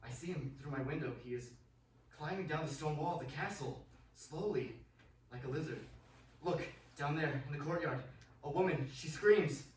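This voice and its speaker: Radio play: male actor as fearful young man in a trance, dramatic and halting, recorded roomy and at a distance. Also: fairly high pitch, darkish tone, close to an everyday voice. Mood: haunted dread. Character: terrified young Englishman recalling a vampire's castle under hypnosis. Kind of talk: stage-like radio drama acting. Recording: roomy, distant mic, faint noise.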